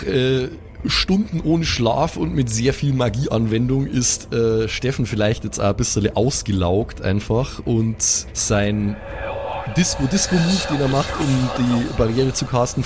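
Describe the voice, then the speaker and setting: Male game master narrating, noisy background. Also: mid-range voice. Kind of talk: improvised narration of a tabletop role-playing game.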